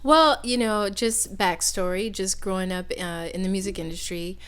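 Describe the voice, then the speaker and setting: Soft-spoken shy woman co-host. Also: mid-range voice, normal speed, smooth. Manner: soft-spoken, agreeable, says she is shy. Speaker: podcast co-host. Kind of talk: casual chat.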